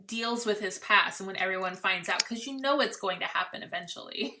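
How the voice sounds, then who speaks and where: A woman's voice: mid-range voice, a little fast, noisy background. A woman hosting a casual fan podcast, chatty and upbeat, laughing often. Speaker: podcast host.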